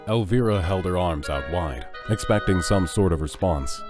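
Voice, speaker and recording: Narrator, male: low-pitched, scripted audio drama storytelling with soft music underneath. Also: animated, moderate pace.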